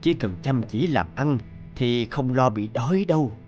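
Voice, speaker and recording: A kindly old peasant man played by a male actor speaks gently and humbly in a cartoon folktale. His delivery swings expressively at a middle pitch, with hesitant little murmurs, and music plays clearly behind him. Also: lively intonation, normal speed, dull audio, natural voice. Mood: earnest. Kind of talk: animated folktale dubbing.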